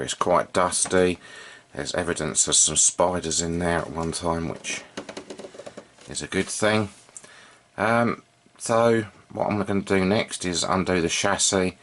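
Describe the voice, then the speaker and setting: A man giving an unscripted, informal hands-on demonstration, thinking aloud in a halting way as he works as a hobbyist repairer. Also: sits low, fairly bright tone, a little hiss or hum. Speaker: vintage radio repair hobbyist and video presenter.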